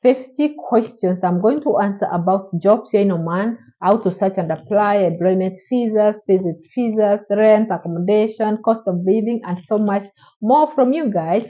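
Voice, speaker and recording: A woman's voice: medium pitch, dull audio. A woman vlogging from Oman, answering viewers' questions in an encouraging, repetitive way and talking straight to the camera. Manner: encouraging, repetitive, direct address. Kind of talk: casual advice vlog.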